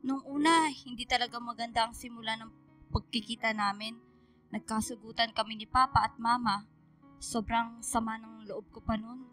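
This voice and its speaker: A woman's voice: fairly high pitch, steady tone, normal speed, a bit bright, soft background music, natural voice. A woman with a Filipino accent playing a cheerful, sassy young adult daughter in a radio drama, playful and conversational. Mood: casual, candid.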